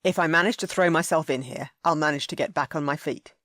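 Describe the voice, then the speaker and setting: A woman's voice: low voice, fast, a bit bright, no room sound, clean studio sound. A woman voicing a forthright young duke, blunt and earnest.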